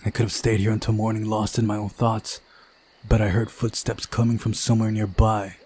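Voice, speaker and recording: A man reading a visual novel aloud as a dramatic narrator, with soft guitar music behind him. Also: lowish voice, completely flat delivery, brisk, a little hiss or hum. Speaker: game playthrough narrator.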